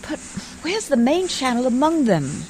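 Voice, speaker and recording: A woman's voice: high voice, sing-song, unhurried, darkish tone, a little hiss or hum. An actress playing a prim but plucky and tender woman adventurer in an old-time radio drama, with genteel, earnest phrasing. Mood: anxious, steadfast.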